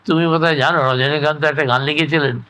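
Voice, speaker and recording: An elderly man reminisces in casual conversation, reflective and halting, often repeating himself. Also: on the high side, fairly even intonation, sharp tone. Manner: halting, reflective, trailing into repetitions. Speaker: interview guest, retired engineer recalling life.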